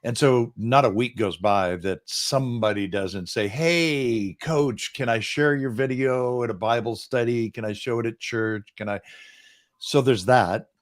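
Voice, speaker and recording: A man in his sixties, a business coach, telling his story in an earnest, self-deprecating way on a boom mic. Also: measured pace. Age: 60s. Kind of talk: casual interview chat. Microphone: boom microphone.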